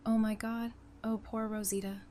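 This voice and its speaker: A woman's voice: a little high, little pitch movement, normal speed, dark tone, natural voice. An actress playing a candid, caring, perceptive woman who talks casually and frankly in a fan audio drama. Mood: concerned.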